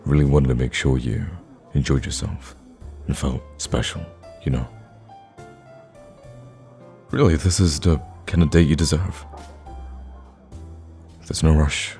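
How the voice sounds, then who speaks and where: A man playing a lovestruck villain in an ASMR romance roleplay, awkward yet cocky, speaking softly and intimately with hesitant stammers. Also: a bass voice, fairly even intonation, a little slow, gravelly, pauses often, faint music behind, exaggerated voice. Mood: smug, doting.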